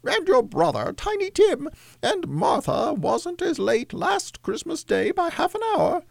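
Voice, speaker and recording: A man voicing a bustling, warm-hearted working-class mother in a storybook, fussy and affectionate as she exclaims. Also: very high voice, huge pitch swings, a little slow, creaky, dry recording, studio quality, voice pushed up, heavily acted. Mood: fretful. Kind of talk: storybook reading of a Victorian tale.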